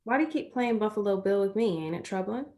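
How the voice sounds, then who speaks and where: A woman's voice: mid-range voice, animated, fast, darkish tone, close to an everyday voice. An actress playing a loyal, reassuring young country woman in a stage play reading, plain-spoken and earnest. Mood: concerned. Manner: folksy, earnest, reasoning things out.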